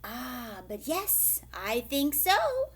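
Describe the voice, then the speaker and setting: Woman voicing a sharp, bossy little storybook leprechaun. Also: sits high, huge pitch swings, very slow, husky, full character voice. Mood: firm, insistent. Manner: folksy Irish storybook phrasing, declaring sharply.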